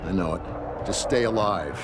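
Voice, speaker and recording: An actor playing a blunt, authoritative military commander giving a terse briefing in a video game. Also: male voice, low-pitched, moderate pace, over loud music, lightly acted. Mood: firm. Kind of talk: dramatic video game acting.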